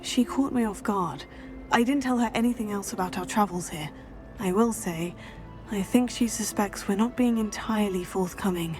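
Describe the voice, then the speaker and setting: A woman's voice: medium pitch, moderate pace, faint music behind, natural voice. Woman playing composed young witch heroine. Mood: polite, reserved. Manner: polite, composed, understated. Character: polite, self-assured teenage British witch heroine. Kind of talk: video game protagonist dialogue.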